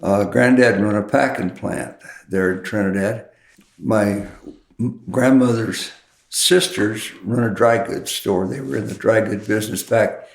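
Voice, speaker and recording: A man from Colorado with a rough, gravelly voice tells casual stories with dry humor. His voice sits a little low. The room around him is roomy and echoey. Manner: dry humor, rural Western turns of phrase. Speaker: guest, horseman and poet. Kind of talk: casual storytelling.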